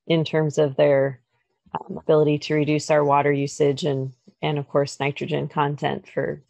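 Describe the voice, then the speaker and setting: A woman hosts an interview in a very low, gravelly voice. She speaks politely and in a measured way. The sound is dry and close, with no room echo. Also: a little mellow. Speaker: podcast host. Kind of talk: interview hosting.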